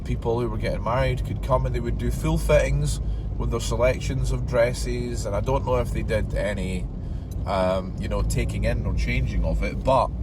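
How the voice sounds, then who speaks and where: A young man with a croaky voice that is fading, chatting casually as he drives a vlog, rambling and self-deprecating. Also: medium pitch. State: croaky, losing his voice. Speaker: vlogger and radio presenter. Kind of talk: casual driving vlog chat. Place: inside a car on rainy city streets. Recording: noisy car interior, road noise.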